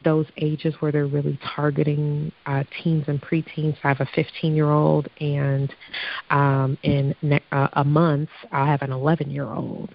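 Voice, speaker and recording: Woman podcast host, hoarse, gravelly, casual chatty manner, slightly dull lo-fi sound. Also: sits low, flat delivery. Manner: chatty, upbeat, quick to laugh. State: hoarse from allergies. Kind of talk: casual chat.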